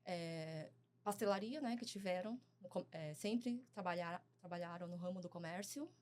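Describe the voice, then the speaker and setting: A woman in her forties from Brazil, talking as a guest in a casual interview, soft-spoken and hesitant with frequent pauses and fillers. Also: lowish voice. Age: 40s.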